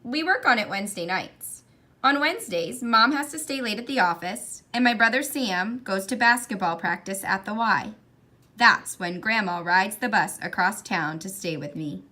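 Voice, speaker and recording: A woman reading a children's picture book aloud in an expressive storytelling style. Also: mid-range voice, moderate pace. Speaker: read-aloud reader.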